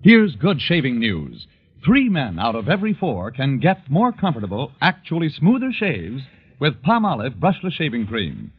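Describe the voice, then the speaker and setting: A man's voice: medium pitch, wildly swooping pitch, normal speed, over music. An American man delivering a scripted 1940s radio advertisement as a commercial announcer. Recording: tinny narrow-band old radio.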